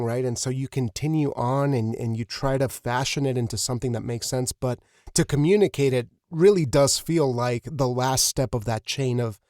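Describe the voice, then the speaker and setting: Man, medium pitch, dry close sound, earnest podcast host, effusive, halting phrasing. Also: a little mellow, dry recording. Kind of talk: casual, reflective interview talk.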